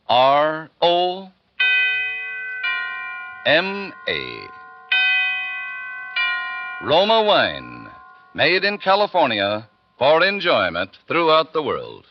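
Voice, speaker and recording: A man announcing a 1940s radio commercial in a polished, deliberate sales manner on a muffled old broadcast, with music behind. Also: mid-range voice, no room sound.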